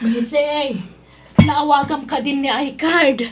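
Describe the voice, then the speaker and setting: A woman's voice: high voice, fairly even intonation, slow. A woman with an Ilocano Filipino accent playing a practical, concerned mother in a radio drama. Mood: concerned, prodding. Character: mother advising her grown daughter, practical and concerned.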